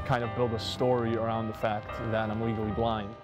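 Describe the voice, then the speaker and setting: A man's voice: flat delivery, brisk. A man in his twenties talking casually as a close-up street magician, explaining and performing. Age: 20s. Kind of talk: interview talk and casual performance patter. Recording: music underneath, subway train rumble.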